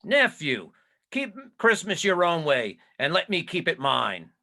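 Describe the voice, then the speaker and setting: A man's voice: on the high side, sing-song, measured pace, gravelly, sharp tone, heavily acted. A man playing a bitter old miser in a dramatic reading of a Victorian story, curt and scornful. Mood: irritated.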